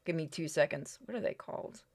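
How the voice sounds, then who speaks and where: A woman's voice: low voice, expressive, fairly quick, darkish tone. A woman streaming a video game, chatting casually and informally as she plays. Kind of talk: casual chat while playing a game on stream. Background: game music.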